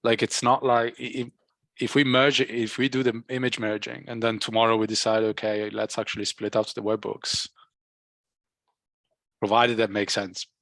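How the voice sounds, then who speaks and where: Low voiced guy on a work call, dry sound, thinks out loud, stumbles and repeats words, casual tech talk. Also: level tone, normal speed, no echo. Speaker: software engineer in a team meeting. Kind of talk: casual technical work discussion.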